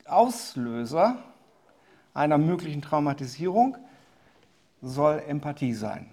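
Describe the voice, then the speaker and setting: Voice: medium pitch, sing-song, slow, dark tone. A lecturer giving a recorded slide lecture who reads from the slides, often corrects himself mid-word and adds short asides.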